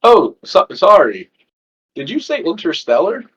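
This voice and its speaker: A man's voice: high-pitched, wildly swooping pitch, measured pace, lo-fi sound, heavily acted. A man voicing a male alien at a human party, speaking in stilted, formal, broken phrases. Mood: puzzled. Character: stiff male alien faking human manners.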